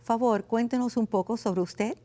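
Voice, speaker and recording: A woman lawyer hosting a legal television program, speaking in a formal, measured way as she introduces and questions guests. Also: on the high side, dark tone. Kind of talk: scripted TV hosting and interviewing.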